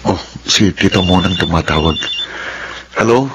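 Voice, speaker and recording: A man acting a polite, sympathetic younger man in a radio drama, respectful and gentle in manner. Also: deep voice, moderate pace, close to an everyday voice. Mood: polite concern. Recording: loud background noise.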